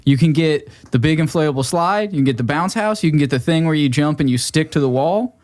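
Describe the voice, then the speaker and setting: A man's voice: fairly high pitch, animated, fairly quick, darkish tone. A man who is a comedian and podcast guest, chatting casually and telling humorous stories.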